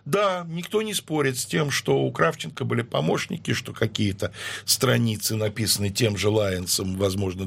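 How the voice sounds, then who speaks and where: Man, husky voice, lecturing guest, pauses and fillers, measured, average pitch. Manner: measured, lecturing with frequent hesitations. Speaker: guest historian. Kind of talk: explanatory interview talk.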